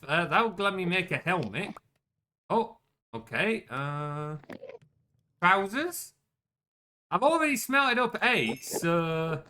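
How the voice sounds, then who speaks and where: Young male streamer, chatty, self-deprecating, quick to curse; animated delivery, crisp bright tone. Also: fairly high pitch, slight background noise. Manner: chatty and self-mocking, swears when caught out. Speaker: game streamer. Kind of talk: casual gameplay commentary.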